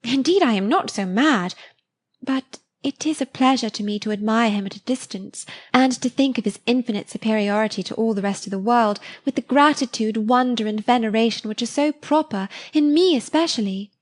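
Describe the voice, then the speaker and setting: A woman's voice: fairly high pitch, a little fast, close to an everyday voice. A woman voicing a timid, sentimental young woman who speaks in an eager, breathless way, in a storybook reading. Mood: gushing.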